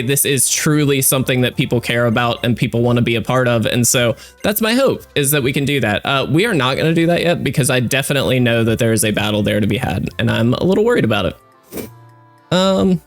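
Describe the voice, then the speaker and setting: Stuffy-nosed guy chatting through his game run, upbeat, slightly high voice, lots of ums and uhs, soft game music underneath. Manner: chatty, upbeat, many fillers. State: stuffy, congested. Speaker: gaming YouTuber. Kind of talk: casual let's-play commentary.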